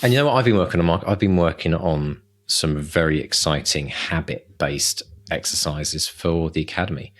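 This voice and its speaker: A man's voice: extremely low pitch, moderate pace, few pauses. A man chatting casually as a podcast co-host and coach, effusive, enthusiastic and encouraging. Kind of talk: casual chat.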